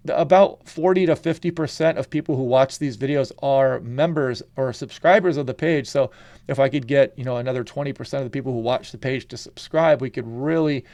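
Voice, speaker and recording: Male weather channel host, casual forecast delivery, stuffy and congested, gravelly tone, dry sound. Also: medium pitch, no room sound. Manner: relaxed, conversational, frequent "you know" and "kinda". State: congested from a head cold. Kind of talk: casual forecast walkthrough over weather maps.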